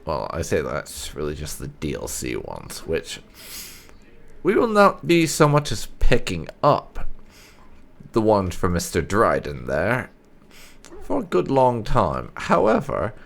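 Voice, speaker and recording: A man's voice: low voice, huge pitch swings, slow, gravelly. A man giving casual, conversational gameplay commentary as a video host, with some light roleplay.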